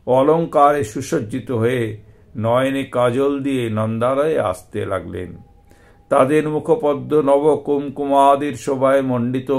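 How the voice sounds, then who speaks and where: A man giving a scripted devotional reading of scripture, with deliberate, measured phrasing broken by short pauses. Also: mid-range voice, vocal fry, a little mellow. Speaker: scripture reader and presenter.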